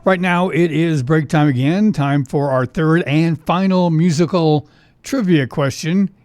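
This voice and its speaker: Chatty male radio host, fairly high voice. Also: a little slow, darkish tone, faint music behind. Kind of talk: casual oldies radio trivia show. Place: radio studio.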